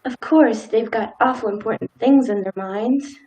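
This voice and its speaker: A woman's voice: fairly high pitch, normal speed, dark tone, natural voice. A woman in a radio play acting a timid, dutiful, law-abiding small-town wife with hesitant, folksy old-fashioned speech. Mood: nervous, hesitant.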